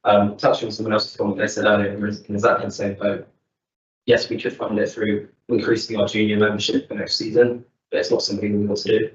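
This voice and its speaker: A man's voice: lowish voice, far from the mic, slight background noise. A man representing a sports club, answering a committee's questions at a council meeting in a plain, matter-of-fact way with many ums. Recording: dull, roomy sound from off-mic.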